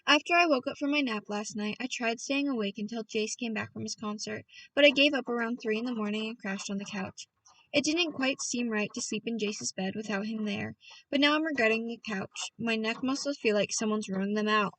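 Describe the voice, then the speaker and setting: A woman's voice: a little high, brisk, a bit bright, no echo, recorded from a distance, low-quality recording. A woman reading a novel aloud as a casual audiobook, chatty and playful, often stopping to talk to her listeners. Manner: chatty, self-interrupting, playful with voices. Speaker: audiobook reader.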